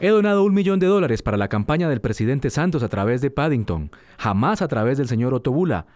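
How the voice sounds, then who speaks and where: Man, firm statement, very fast, dry close sound. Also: medium pitch, sing-song, darkish tone, dry recording. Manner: firm denial, rapid. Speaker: interviewee. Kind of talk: formal statement.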